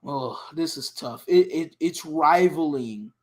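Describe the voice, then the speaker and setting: A man with a raspy, hoarse voice co-hosts a sports podcast. He sits slightly higher in pitch and tells his stories in an eager, rambling way, stumbling over his words. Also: slow pace. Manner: excited, rambling, restarts a lot. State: hoarse from cheering. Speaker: podcast co-host. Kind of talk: casual sports podcast chat.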